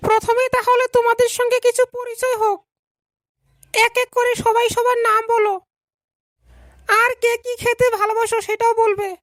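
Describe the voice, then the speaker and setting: A woman's voice: very high-pitched, level tone, moderate pace, long pauses, fairly bright tone, no echo. A woman voicing a polite young female schoolteacher in a comedy cartoon, instructing her pupils. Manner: instructing, addresses pupils in turn.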